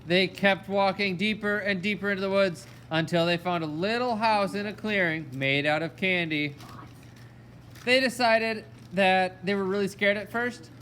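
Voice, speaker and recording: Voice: mid-range voice, slight background noise. A storyteller narrating a fairy tale for a children's puppet show. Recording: loud music alongside the voice. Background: music.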